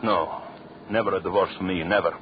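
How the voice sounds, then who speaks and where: An actor playing a vengeful, coldly sinister husband with formal, mock-polite speech in an old-time radio drama. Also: male voice, sits low, fairly even intonation, a little fast, a little hiss or hum, lightly acted. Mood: bitter, menacing. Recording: muffled, narrowband old radio broadcast.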